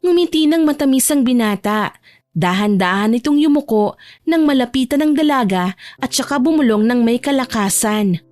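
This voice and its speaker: A woman's voice: a little high, animated, normal speed, darkish tone, dry recording. A woman narrating a romance story in a scripted audiobook style.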